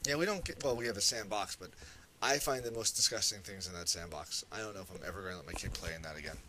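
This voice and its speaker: A man's voice: low voice, fast talker, bright, forceful tone, a little hiss or hum. A man in his thirties who lives in New York City, co-hosting a casual parenting chat over a video call from home, teasing his partner with dry, wry remarks. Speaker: podcast co-host. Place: home room, indoors.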